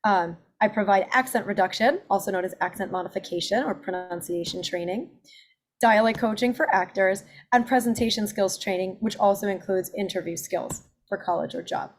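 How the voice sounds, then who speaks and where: Woman in her 40s doing a webinar pitch for her coaching, upbeat and chatty, lists off services. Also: fairly quick. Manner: upbeat, self-promoting, listing services. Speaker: accent and presentation coach.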